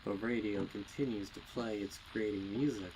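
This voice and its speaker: A man narrating a dark story with intense, theatrical delivery and deliberate phrasing. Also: fairly low pitch, steady tone, background noise. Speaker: fan fiction narrator. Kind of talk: dramatic scripted horror story narration.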